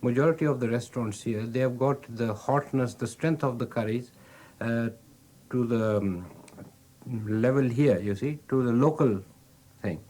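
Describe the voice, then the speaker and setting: A man's voice: mid-range voice, moderate pace, mellow. A man with an Indian accent, a restaurant owner explaining things patiently, with frequent fillers. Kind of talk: explaining, casual interview.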